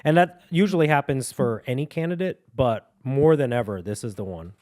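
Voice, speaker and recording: A young man co-hosting a podcast, joking and rambling in casual chat with quick laughs. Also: mid-range voice, animated, a little mellow, no room sound, studio quality. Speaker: podcast co-host. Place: home studio with dogs.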